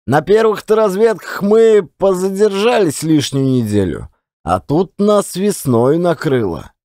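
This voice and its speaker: A man's voice: high voice, sing-song, measured pace, dark tone, dry recording, studio quality. A man performing a dramatized audiobook as a folksy Russian working man telling colloquial tales of his adventures. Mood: lively storytelling. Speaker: audiobook narrator and actor. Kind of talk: one-man dramatized audiobook reading of classic fiction.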